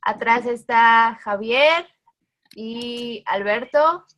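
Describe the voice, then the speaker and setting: A woman in her thirties, a workshop participant, talking quickly and cheerfully on a video call. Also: a little high, a bit bright, dull audio, a little hiss or hum. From Mexico. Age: 30s.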